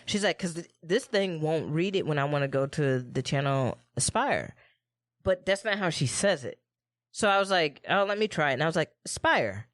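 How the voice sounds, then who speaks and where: A woman's voice: deep voice, moderate pace, dry recording, studio quality. A woman with a Midwestern American accent, co-hosting a casual podcast and telling stories with plenty of swearing. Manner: animated storytelling, frequent swearing. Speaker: podcast co-host. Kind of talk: casual chat.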